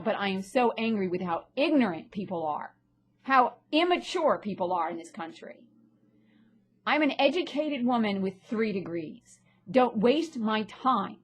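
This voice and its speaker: A woman talking bluntly and indignantly in a personal vlog, sounding a little stuffy from a sinus infection. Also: fairly high pitch. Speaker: vlogger and actress. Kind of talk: ranting personal vlog monologue.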